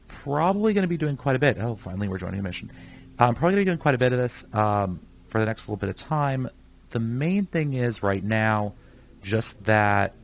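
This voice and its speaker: A young man who is sick, rambling casually in live gameplay commentary and talking to himself as he plays. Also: fairly low pitch. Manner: rambling, chatty, talks to himself while playing. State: sick, voice somewhat off. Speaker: gaming channel host.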